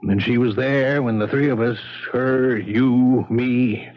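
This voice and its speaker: An actor playing a modest, shrewd police detective in a 1970s radio drama, explaining things in a casual, matter-of-fact way. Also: male voice, medium pitch, steady tone, slow. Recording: dull 1970s radio broadcast recording.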